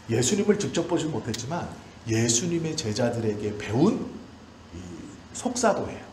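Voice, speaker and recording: A man's voice: mid-range voice, darkish tone. A man in his forties, a pastor delivering a sermon in emphatic, repeated short phrases. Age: 40s. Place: church hall during a service. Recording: roomy church echo, faint background noise.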